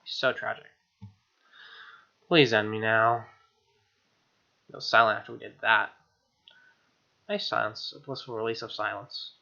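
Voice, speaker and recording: A man's voice: fairly low pitch, fairly even intonation, measured pace, vocal fry, long pauses, sharp tone. A young man reading game text aloud as a let's play host, with irreverent, slangy commentary. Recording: dull lo-fi mic, music behind. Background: game music with guitar.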